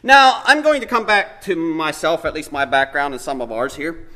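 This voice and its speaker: Man, preacher. Slightly higher voice, loose conversational sermon with lots of ums, church setting. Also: animated, normal speed, bright, forceful tone. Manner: conversational, hesitant, thinks aloud with many fillers. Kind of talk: informal Bible teaching sermon.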